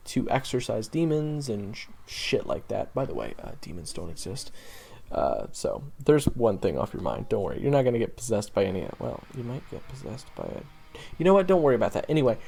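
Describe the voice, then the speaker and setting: Young male monster hunter, played by a male actor, audio drama monologue. Casual, self-deprecating, trailing off; fry-heavy, expressive pitch, music underneath. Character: young monster-hunting swordsman, wry, wounded, easygoing. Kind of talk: conversational audio roleplay monologue.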